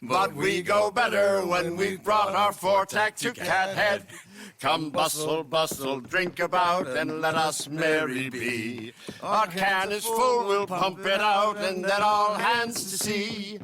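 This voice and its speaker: An actor playing a merry drinker, singing a sea shanty in a western game. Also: male voice, high-pitched, takes it slowly, over music. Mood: rollicking. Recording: loud crowd of voices.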